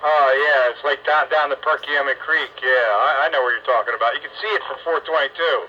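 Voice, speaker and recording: A man's voice: medium pitch, fairly quick, pushed and bright. An American man making casual conversation over a CB radio. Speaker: CB radio operator. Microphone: CB radio microphone. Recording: dull, faintly noisy CB radio transmission.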